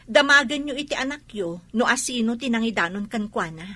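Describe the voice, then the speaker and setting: Give me a bright-voiced, aggrieved young mother. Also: on the high side, animated, brisk, no room sound. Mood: defensive. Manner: firm, reasoning, restrained reproach. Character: aggrieved young mother and daughter-in-law, firmly defending herself. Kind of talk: radio drama acting.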